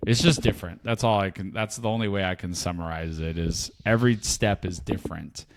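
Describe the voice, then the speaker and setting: Fifties guy, lowish voice, rambly reflective podcast chat. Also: fairly quick. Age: 50s. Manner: reflective, rambling with many restarts. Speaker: podcast co-host, parent. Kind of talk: casual chat.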